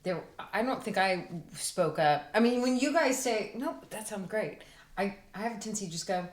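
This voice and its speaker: A woman actor in a casual group chat, speaking in a halting, self-effacing way. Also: mid-range voice, lively intonation. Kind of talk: casual round-table chat. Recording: echoey room.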